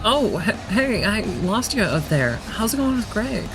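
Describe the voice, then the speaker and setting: A woman's voice: mid-range voice, very animated, a little slow, background noise. An actress playing a reserved, awkward young female student in an audio drama, speaking hesitantly. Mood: hesitant, resigned. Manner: hesitant, shy, understated.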